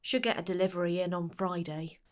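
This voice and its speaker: A woman's voice: lowish voice, lightly acted. A woman playing a plain-spoken, kindly village shopkeeper in an audio story, talking informally. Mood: matter-of-fact. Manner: unhurried, informal, colloquial. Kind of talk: acted dialogue in audio story.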